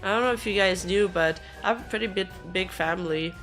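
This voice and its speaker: A hoarse woman streamer chatting casually and rambling with her viewers, laughing easily. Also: mid-range voice, quick pace, fairly bright tone, soft background music. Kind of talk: casual livestream chat with viewers.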